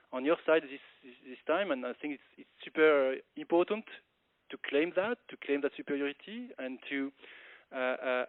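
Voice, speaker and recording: A man speaking as a company executive on an earnings call, answering spontaneously in a halting way, often repeating words mid-sentence. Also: medium pitch. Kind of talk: spontaneous business presentation and Q&A. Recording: muffled conference call audio.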